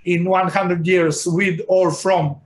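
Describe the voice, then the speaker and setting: A middle-aged man whose first language is Serbian, a medical professor giving an interview over a video call from a room at home, talking in a hesitant way with many filled pauses and lively hand gestures. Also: high-pitched. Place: home room with icons on shelves. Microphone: video call webcam. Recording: echoey room, faint noise.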